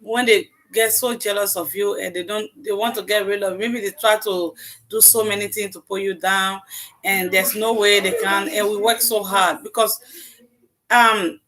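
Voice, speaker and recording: A woman's voice: mid-range voice, steady tone, moderate pace, fairly bright tone. A woman from Africa with an African accent, chatting earnestly on her live stream and laughing often. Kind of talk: casual chat on a live stream.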